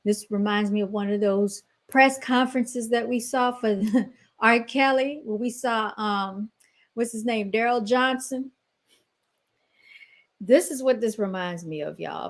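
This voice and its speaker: A woman's voice: mid-range voice, a little slow. A woman hosting a podcast, talking casually and sarcastically over clips she plays, laughing often and speaking straight to her listeners. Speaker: podcast host. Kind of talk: casual commentary reacting to clips.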